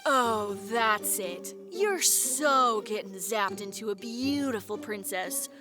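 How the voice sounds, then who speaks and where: A woman's voice: mid-range voice, expressive, takes it slowly, over music. A woman voicing a sassy, playful young raccoon with a teasing, sardonic way of talking, in a cartoon audio drama. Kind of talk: animated audio drama acting.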